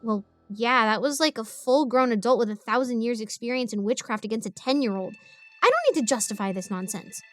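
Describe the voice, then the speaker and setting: A chatty ten-year-old girl, played by a woman narrates her story in the first person. She is excitable and defiant, given to rambling asides, in a high voice over light music. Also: a little fast, natural voice. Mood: indignant, defiant.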